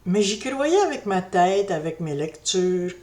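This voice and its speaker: A middle-aged woman tells a personal story to camera in a slow, reflective way, often pausing and restarting her sentences. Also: mid-range voice, very animated, warm tone. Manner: slow, reflective, hesitant, with many fillers and restarts. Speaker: spiritual vlogger.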